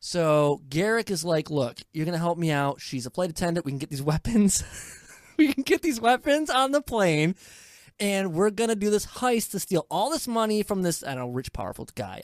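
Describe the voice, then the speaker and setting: A woman's voice: fairly low pitch, no room sound, clean studio sound. A woman chatting casually as a podcast host reviewing a film, giggly and emphatic in how she tells it. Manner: animated, giggly, emphatic storytelling. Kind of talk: casual movie review chat.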